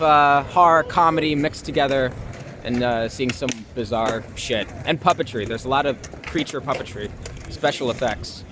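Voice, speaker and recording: A young man chatting casually in an interview, hesitating often and using filler words. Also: fairly high pitch. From New York. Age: young adult. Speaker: interview guest, actor and filmmaker. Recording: noisy outdoor city traffic.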